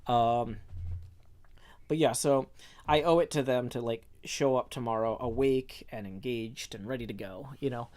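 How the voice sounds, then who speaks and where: A man's voice: mid-range voice, normal speed, darkish tone. A man live-streaming a game, chatting in a rambling, casual way and answering his viewers as he plays. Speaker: livestreamer. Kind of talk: casual live-stream chat while playing.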